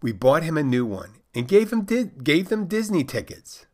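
A man's voice: on the high side, very animated, moderate pace, darkish tone, voice pushed up. A man voicing a naive fourteen-year-old Polish expat boy, reading out stilted, overly formal text messages. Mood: earnest deadpan.

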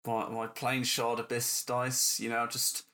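A man's voice: mid-range voice, monotone, measured pace, pushed and bright. A man in casual podcast conversation as a guest game master, informal and a bit hesitant in his delivery.

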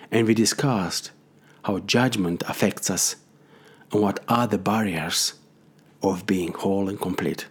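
Male host, husky voice, careful and slightly halting delivery. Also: on the low side, a little mellow. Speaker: podcast host. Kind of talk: interview hosting.

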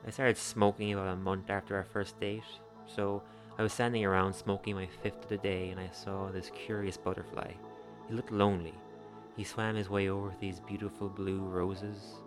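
A man performs a young man looking back on a doomed love affair in a dark-comic radio play. His voice is low, his storytelling wistful and plain-spoken, blunt even when the language turns poetic. Music plays clearly behind him. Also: moderate pace, lightly acted. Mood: frank.

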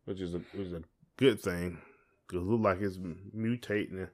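A man with a fairly low voice co-hosts a casual podcast. He talks in a rambling way, circling back and repeating phrases as he thinks out loud. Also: fairly even intonation, normal speed. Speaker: podcast co-host. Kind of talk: casual conversational chat.

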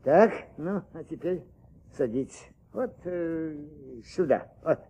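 A man playing a crotchety old retired judge, halting and condescending, in a Soviet radio drama. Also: a little high, expressive, warm tone, slight background noise. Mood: bossy, hospitable. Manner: halting, muttering, chuckling, condescending. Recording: dull old radio recording.

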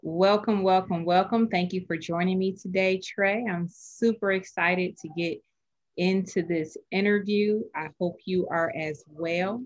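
A woman's voice: on the low side, fairly even intonation, unhurried, lo-fi sound, faint music behind. A woman hosting an interview show, upbeat and encouraging toward her guest. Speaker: podcast host.

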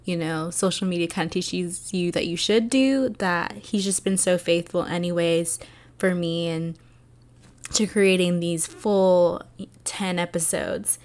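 Soft-spoken woman with a soothing voice. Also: fairly low pitch, fairly even intonation, unhurried. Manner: soft-spoken, earnest, with many fillers. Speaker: podcast host. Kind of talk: reflective solo podcast talk.

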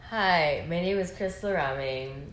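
A woman, a guest talking in a relaxed, reflective way with many fillers. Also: lowish voice, a little slow, a little hiss or hum. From Bahamas. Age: 20s. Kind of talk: casual conversation. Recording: echoey room, faint ambience.

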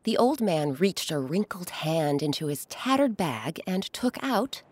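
A woman's voice: fairly low pitch, big pitch swings, measured pace, a little mellow, breathy edge. A woman hosting and narrating a children's story, with dramatic, emphatic phrasing. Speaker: host and narrator.

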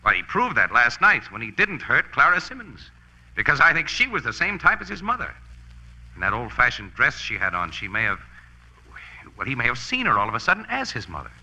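An actor playing a brash, slangy American newspaper photographer in a punchy 1940s radio drama style. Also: male voice, mid-range voice, huge pitch swings, fairly quick, pushed and bright, breathy edge, heavily acted, low-quality recording. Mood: thoughtful. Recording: old radio broadcast recording.

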